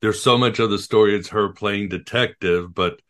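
A man's voice: on the low side, normal speed, a bit bright. A man hosting a podcast, chatty and enthusiastic in casual conversation. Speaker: podcast host.

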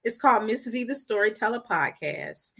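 A woman's voice: on the high side, animated, moderate pace, creaky, fairly bright tone, breathy edge. A woman guest on an interview podcast, talking earnestly and animatedly about her life and work as a storyteller. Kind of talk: casual interview talk.

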